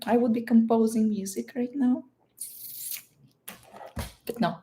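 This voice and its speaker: A woman's voice: fairly high pitch, fairly even intonation, unhurried, mellow, a little hiss or hum. A woman with a Russian accent, a native Russian speaker, chatting casually and playfully as she answers livestream questions, often searching for words. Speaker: livestream host.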